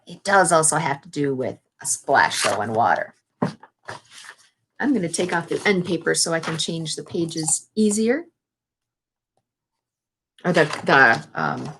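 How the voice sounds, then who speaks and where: A woman's voice: low-pitched, animated, normal speed, pauses often, background noise. Lady teacher doing a kids read-aloud, reads it out expressively and stops to explain stuff in simple words. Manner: explains simply, as to young children. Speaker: teacher reading a picture book aloud.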